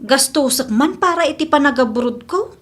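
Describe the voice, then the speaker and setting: A woman playing an eager, pleading young daughter in an Ilocano radio drama, talking in a lively, reassuring way. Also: high-pitched, normal speed. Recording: music behind the voice.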